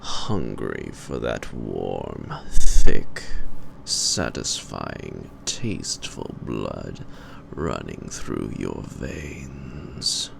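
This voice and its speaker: A man playing a suave, psychotic gentleman vampire in an audio roleplay, pompous, taunting and predatory, with drawn-out and sometimes whispered delivery. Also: lively intonation, full character voice. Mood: hungry, seductive. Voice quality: very deep, gravelly. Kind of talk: theatrical roleplay monologue to the listener. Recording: noisy amateur home recording.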